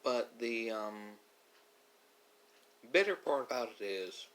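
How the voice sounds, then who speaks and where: A man speaking off the cuff to his viewers in a philosophical monologue, halting often and correcting himself as he goes. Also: medium pitch, lively intonation, a bit bright. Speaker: ASMR video creator. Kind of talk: unscripted musing monologue to viewers during a mukbang.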